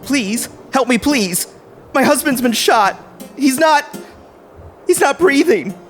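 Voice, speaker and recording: A man's voice: very high-pitched, expressive, slow, a bit bright, exaggerated voice. A man acting in an audio play as a resentful husband turned scheming killer, with a theatrical manner. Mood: fake panic. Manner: theatrical, switching from pleading to smug. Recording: music behind the voice.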